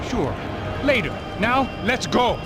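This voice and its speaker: An actor playing a defensive, apologetic bodyguard and friend in a video game, with an earnest, pleading manner. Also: male voice, very high-pitched, sing-song, slow pace, loud music behind the voice, heavily acted. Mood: urgent, defensive.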